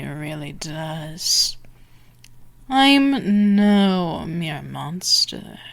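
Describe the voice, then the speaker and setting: A woman playing a dominant, predatory female vampire in an ASMR roleplay, taunting and sultry, often slipping into breathy whispers. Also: lowish voice, exaggerated voice. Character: dominant, teasing, predatory female vampire stalking her prey.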